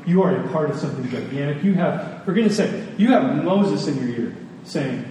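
A man giving a sermon in a conversational way, telling personal stories with emphatic repetition and pauses. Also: a little high, lively intonation, warm tone. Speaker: preacher. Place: large reverberant room with an audience. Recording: echoey hall, distant, faint noise.

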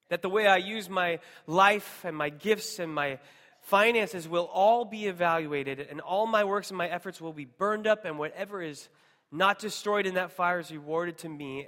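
A man's voice: high-pitched, normal speed, a bit bright. A man with an American accent preaching a sermon to a congregation in an emphatic way, leaning on repetition. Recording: long hall reverb.

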